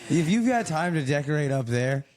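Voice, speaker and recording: A man in his late twenties, a guest in a casual chat, quick-witted and teasing, talking through the situations step by step. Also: a little high, very animated, a little mellow. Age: 20s.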